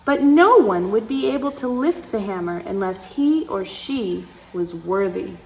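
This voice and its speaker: A woman's voice: mid-range voice, expressive, measured pace, creaky, slight background noise, poor audio. A woman reading a picture book aloud to children, with playful asides and dramatic emphasis, speaking straight to her young listeners.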